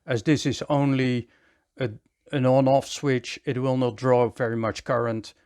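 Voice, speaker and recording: A man giving a hands-on electronics repair tutorial in a measured, step-by-step way, often repeating short phrases. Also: mid-range voice, little pitch movement, a little mellow, clean tone.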